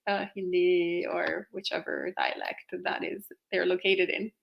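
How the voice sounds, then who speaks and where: A woman with an Italian accent hosting a casual live question-and-answer chat, talkative and quick to laugh. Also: on the low side, wildly swooping pitch, gravelly, muffled recording, a little hiss or hum. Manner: chatty, laughs often, fillers like "you know". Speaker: host reading viewer questions.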